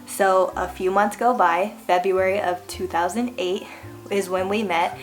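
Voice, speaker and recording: A woman in her thirties from Hawaii, hosting a relaxed vlog and telling a personal story with frequent laughs and lots of "like". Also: medium pitch, unhurried, soft background music. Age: 30s. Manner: chatty, laughs often, lots of "like". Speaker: vlogger host. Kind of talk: casual storytelling chat.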